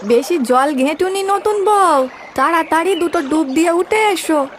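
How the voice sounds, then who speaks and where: A woman's voice: extremely high pitch, animated, measured pace, a little hiss or hum. A woman playing a familiar, watchful village helper in a cartoon story. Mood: friendly, bossy. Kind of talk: animated cartoon story dialogue.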